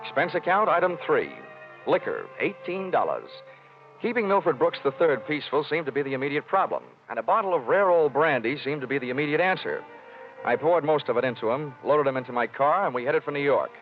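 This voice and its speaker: A man's voice: fairly high pitch, animated, fairly quick, soft background music, close to an everyday voice. Man acting a wisecracking hard-boiled detective, tinny old radio. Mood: dry narration. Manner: wry, slangy, wisecracking. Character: wisecracking hard-boiled male insurance investigator, breezy and flirtatious.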